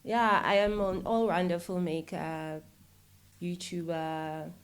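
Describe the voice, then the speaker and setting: Low-voiced South African woman, chatting casually. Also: flat delivery, takes it slowly, smooth. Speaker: interview guest, filmmaker and YouTuber. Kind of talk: casual conversational interview talk.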